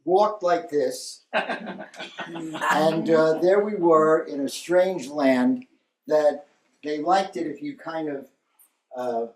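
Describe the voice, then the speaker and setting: An older man telling stories slowly and wryly at a memorial gathering, pausing to gather his thoughts. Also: on the high side. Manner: wry, halting, stops to gather thoughts. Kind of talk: slow rambling storytelling. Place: meeting room with a live audience. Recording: echoey room from far off.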